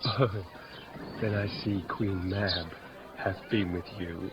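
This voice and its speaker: An actor playing a witty, teasing young gentleman in a theatrical, playful 1950s radio Shakespeare performance. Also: sits low, steady tone, slow, noisy background. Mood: whimsical, storytelling. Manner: theatrical, playful verse delivery. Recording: muffled old radio broadcast, music behind.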